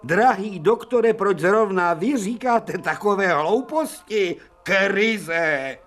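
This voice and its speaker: An actor playing an imperious, irascible older professor, declaiming sarcastic rhetorical questions in a theatrical radio drama. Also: male voice, high-pitched, a bit bright. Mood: scornful. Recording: music faintly behind the voice.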